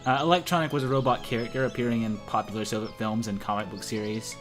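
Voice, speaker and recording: A young man with a mid-pitched voice narrates a visual novel aloud in a casual group session. He jokes with his friends between lines. Faint music runs underneath. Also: steady tone, quick pace. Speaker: narrator in a group let's play. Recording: music behind the voice.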